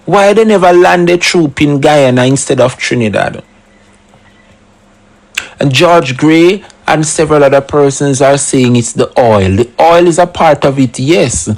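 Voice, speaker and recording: A man giving opinionated solo commentary, full of rhetorical questions and emphatic asides. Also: a little high. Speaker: commentary channel host.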